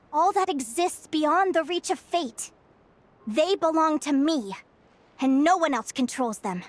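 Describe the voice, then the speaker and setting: A woman playing a cheerful, stubbornly optimistic young adventurer in a video game, bubbly, excitable and earnest. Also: sits high. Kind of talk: animated video game voice acting.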